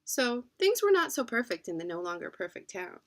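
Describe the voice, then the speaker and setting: A woman's voice: a little high, very animated, brisk. Woman reading a children's storybook expressively. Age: adult, parent. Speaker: children's book reader.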